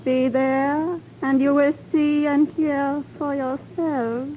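Mystic high priestess: high voice, very slow solemn prophecy, old radio drama. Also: female voice, fairly even intonation, mellow, muffled recording. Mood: solemn, prophetic. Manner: slow, incantatory, formal pronouncements. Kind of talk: 1950s radio drama acting. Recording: faintly noisy old radio recording.

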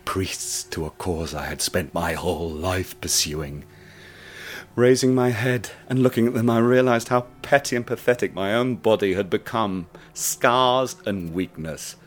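A man narrating a first-person horror radio play, hushed and intense. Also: on the low side, fairly even intonation, measured pace. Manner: hushed and intense, rising to ecstatic. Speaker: narrator and protagonist.

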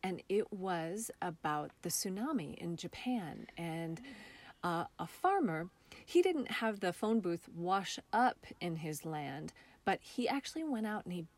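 Woman playwright chatting in an interview, slightly low voice, thoughtful and gushing, kinda storytelling. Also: big pitch swings, a little slow. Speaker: interview guest, playwright and theatre artist.

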